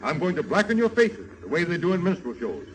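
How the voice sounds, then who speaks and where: An actor playing a bossy, smooth-talking medicine show kidnapper in a coaxing showman's manner, in 1930s radio serial style. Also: sits high, wildly swooping pitch, quick pace, slight background noise, rough recording. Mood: jovial, scheming. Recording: old radio serial recording.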